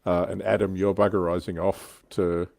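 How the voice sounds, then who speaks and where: A man's voice: deep voice, little pitch movement, moderate pace, vocal fry, darkish tone, dry recording. A man with an Australian accent hosting a casual livestream panel chat. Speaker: host of a livestream.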